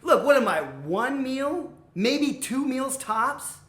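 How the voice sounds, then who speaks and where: A woman's voice: high-pitched, sing-song, takes it slowly, echoey room, voice pushed up, heavily acted. A woman voicing a cocky, scheming middle-brother billy goat in a storybook tale, slangy and persuasive, repeating words. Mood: wheedling. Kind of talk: animated comic folktale storytelling.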